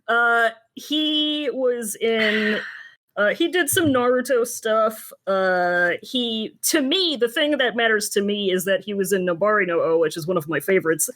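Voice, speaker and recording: A woman's voice: medium pitch, a little slow, fairly bright tone. A woman in her thirties co-hosting a casual podcast chat, wry and sweary, often hesitating as she searches for words. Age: 30s. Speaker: podcast co-host. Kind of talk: casual chat about anime and voice actors.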